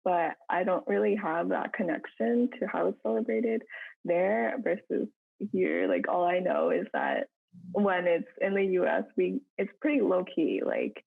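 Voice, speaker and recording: A woman's voice: on the low side, lively intonation, measured pace, creaky, husky. A young woman, a podcast co-host born in Hong Kong and raised in the United States, chatting casually with lots of fillers and little laughs. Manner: laughs between phrases, many fillers. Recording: tinny phone-like line.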